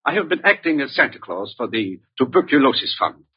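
Very raspy, haughty, frightened rich man. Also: medium pitch, sing-song, brisk. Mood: grave. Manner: stiff, formal, self-important. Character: wealthy, haughty, frightened male client in danger. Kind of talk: old-time radio drama acting. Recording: muffled vintage radio drama recording.

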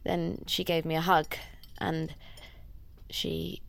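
An anxious young daughter in an audio drama. Her voice is clean and smooth, a little low. She is meek and soft-spoken, hesitating as she goes. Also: little pitch movement, slow pace, heavily acted. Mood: nervous, halting. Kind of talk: naturalistic audio drama acting.

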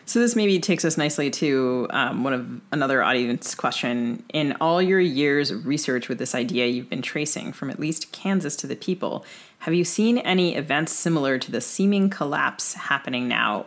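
A woman's voice: sits low, normal speed. A woman speaking as a podcast co-host, putting thoughts and questions in a careful, tentative conversational way. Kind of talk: conversational interviewing.

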